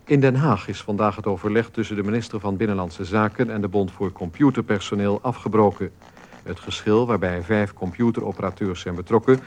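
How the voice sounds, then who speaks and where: Sober male newsreader, played by an actor: lowish voice, formal radio bulletin delivery, faint noise behind. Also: a little mellow, natural voice. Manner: formal, measured, impersonal. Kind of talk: news bulletin within a radio play.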